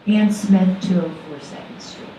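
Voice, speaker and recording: A woman resident at a public meeting asking questions, hesitant with pauses and ums. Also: lowish voice, dark tone, slight background noise. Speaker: resident commenting. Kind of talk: public comment. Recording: echoey room, distant mic.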